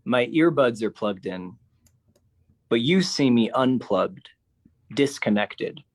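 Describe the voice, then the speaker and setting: A man performing a withdrawn, lonely figure in a theatrical spoken-word monologue, with halting, deliberate phrasing. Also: mid-range voice, lively intonation. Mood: brooding.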